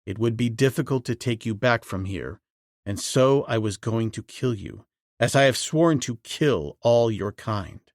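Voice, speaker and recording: A man voicing a stern wild jungle man who speaks slowly and grimly in a low voice. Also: lively intonation, dry recording, clean studio sound, lightly acted. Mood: grave. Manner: slow, low, grim speech.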